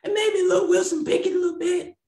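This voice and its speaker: A man's voice: very high voice, steady tone, a little fast, mellow, echoey room, pitched above the performer's own voice. A man doing a sassy old grandma puppet. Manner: drawling, folksy, calls people baby. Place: small room at home, video call.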